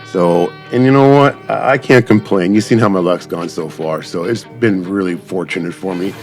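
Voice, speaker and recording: Deep voice gamer guy, rambly casual commentary on gameplay, bit of music behind. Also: moderate pace, darkish tone. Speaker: gaming YouTuber. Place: voice-over on game footage.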